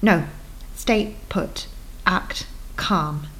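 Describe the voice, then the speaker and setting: A woman's voice: lowish voice, very slow, a bit bright, poor audio. A woman voicing a frightened young woman in her twenties who pleads with a gunman, in a book reading. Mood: anxious. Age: 20s. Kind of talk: audiobook reading.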